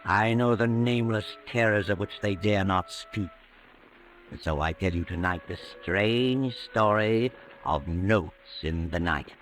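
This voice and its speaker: An actor playing a sinister, all-knowing narrator of night tales in a 1940s radio drama, speaking in an ominous, deliberate, theatrical way. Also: male voice, on the low side, over music, natural voice. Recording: noisy old radio recording.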